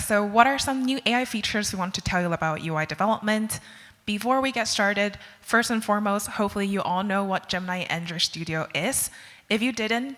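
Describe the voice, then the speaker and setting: A woman's voice: mid-range voice, sharp tone. A woman from Taiwan in her thirties, a product manager giving a friendly conference talk with frequent "uh" fillers. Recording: long hall reverb.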